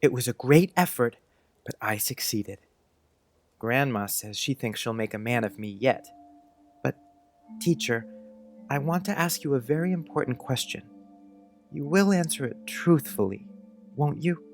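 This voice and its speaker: A man's voice: mid-range voice, a little slow, long pauses, faint music behind, lightly acted. A man playing an earnest, polite, precocious young schoolboy in an audiobook, speaking in careful old-fashioned phrases. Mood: solemn, proud. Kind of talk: audiobook character acting.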